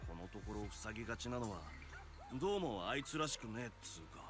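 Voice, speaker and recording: A man voicing a gruff male dog creature in a game, speaking in rough slang. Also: fairly low pitch, lively intonation, very fast, faint music behind, close to an everyday voice.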